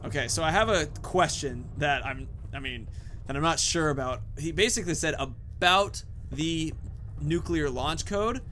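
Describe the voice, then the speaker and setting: A male gamer with a fairly high, bright voice gives casual let's play commentary. He thinks aloud informally, with faint noise in the background. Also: normal speed. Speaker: gaming video host.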